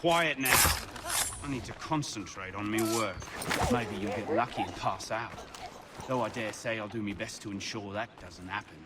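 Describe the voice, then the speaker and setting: An actor playing a low-born ruffian torturer in a video game, speaking in a rough, colloquial, relishing sing-song. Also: male voice, moderate pace, close to an everyday voice. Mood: sadistic relish. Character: sadistic low-born male ruffian torturer, gleefully proud. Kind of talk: video game cutscene acting. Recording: noisy ambience behind the voice.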